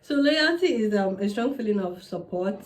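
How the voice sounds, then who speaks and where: A young woman in her twenties, a native Yoruba speaker with a Nigerian accent, chatting casually and candidly to camera with quick asides. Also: mid-range voice, animated, a little hiss or hum. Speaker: vlogger. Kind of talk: casual sit-down advice chat.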